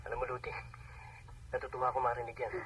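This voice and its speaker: A man acting a gentle husband calling home from abroad in a radio drama, speaking softly. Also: medium pitch, completely flat delivery, racing, slight background noise, close to an everyday voice. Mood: moved, glad. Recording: distant, dull phone-call sound on radio.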